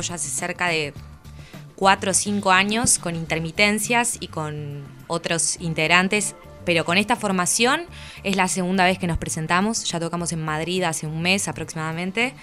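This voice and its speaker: A woman's voice: lowish voice, normal speed, sharp tone, soft background music. A woman with a Rioplatense Argentine accent, talking casually and enthusiastically as a musician being interviewed.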